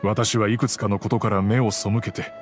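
Middle-aged wealthy patriarch played by a man, low voice, composed and fatherly, reflective delivery, anime game drama, faint music underneath. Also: level tone, natural voice. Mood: grave, regretful. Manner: measured, gentle, reflective. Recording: soft music behind the voice.

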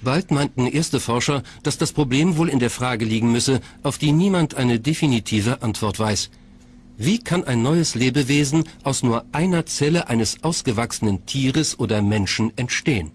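A man's voice: mid-range voice, lively intonation, normal speed, slightly rough. A man reading scripted narration as the narrator of a documentary. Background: faint music.

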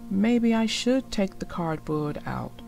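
A woman's voice: medium pitch, very animated, slow, vocal fry, darkish tone, faint music behind, heavily acted. A woman voicing a proud but sensitive young male rabbit in a children's storybook. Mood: embarrassed.

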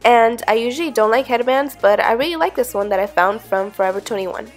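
A woman's voice: on the high side, fairly quick, soft background music. A young woman fashion vlogger talking casually to camera in a small bedroom, upbeat and chatty, her voice a little off from being sick. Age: young adult. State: sick, voice a bit off. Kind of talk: casual vlog talk to camera. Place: small bedroom with string lights, indoors.

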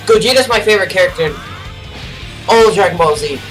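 A man's voice: high voice, expressive, fast talker, fairly bright tone, recorded from a distance, poor audio. A young adult man giving casual live reaction commentary as a video host. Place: small bedroom, indoors. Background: trailer music.

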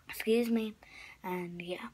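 A young woman with a raspy, often whispery voice, rambling through a casual craft tutorial with lots of fillers and restarts, filmed close up on a phone camera in her bedroom. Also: on the high side. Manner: rambling, filler-heavy, self-correcting. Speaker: craft vlogger. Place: small bedroom indoors. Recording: noisy home recording.

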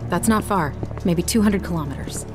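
Assertive young military woman for a game female actor: brisk, lowish, music underneath. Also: lightly acted. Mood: businesslike. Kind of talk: dramatic video game cutscene acting. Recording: game audio with music behind.

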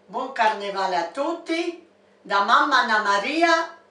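An older woman with an Abruzzo Italian accent talks slowly through a recipe as a home cook. Her voice sits fairly high and unhurried. It is caught from a distance in an echoey home kitchen. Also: expressive, sharp tone. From Italy. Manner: slow step-by-step instructions. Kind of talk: cooking demonstration.

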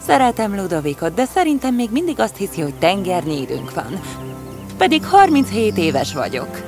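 Earnest storybook heroine, played by an actress, mid-range, very expressive melody, faint music behind. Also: female voice, medium pitch. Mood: earnest, exasperated. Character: women in a fairy tale: eager schemer, resigned friend. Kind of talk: fairy tale character acting.

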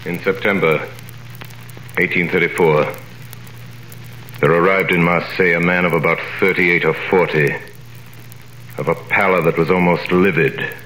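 An actor narrating and playing a grave mysterious stranger in a 1930s radio drama, with a measured, solemn delivery, heard as an echoey vintage broadcast. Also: male voice, low-pitched, fairly even intonation, sharp tone, a little hiss or hum. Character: grave mysterious stranger, the returned Dantes in disguise.

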